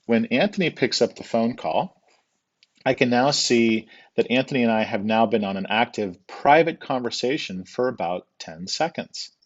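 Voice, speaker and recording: A man giving an informal step-by-step software tutorial in a patient, explanatory, conversational way. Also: mid-range voice, animated, moderate pace, muffled recording.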